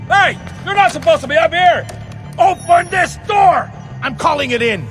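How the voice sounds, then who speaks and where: An angry shouting security guard, super high pitch, game cutscene, faint music. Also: male voice, lively intonation, moderate pace. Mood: alarmed, angry. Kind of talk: video game cutscene acting.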